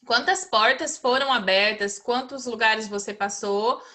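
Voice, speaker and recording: Brazilian woman, English teacher host, bright high tone, agreeable casual chat. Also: unhurried. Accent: Brazilian Portuguese. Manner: agreeable, many filler tags and restarts. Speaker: English teacher hosting a mentoring talk. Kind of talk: casual conversation.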